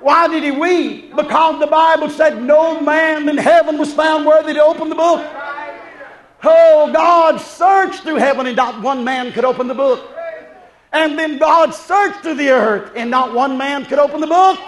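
A man preaching a fervent revival sermon, shouting exclamations, repeating refrains and calling on the congregation to respond. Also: very high-pitched, measured pace, low-quality recording. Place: preaching live before a congregation. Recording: live congregation heard around the preacher. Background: congregation crowd.

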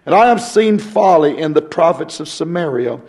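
A hoarse male pastor, talking folksy and rambling, then reading scripture in a rising preaching cadence. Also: a little high, expressive, normal speed, a little mellow.

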